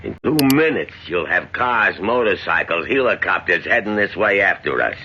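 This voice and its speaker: An actor playing a tough escape-artist convict who talks gruff and suspicious, with a streetwise edge, in a radio drama. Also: male voice, low-pitched, sing-song, moderate pace, full character voice. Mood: commanding. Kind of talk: 1970s radio drama acting. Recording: dull old radio broadcast.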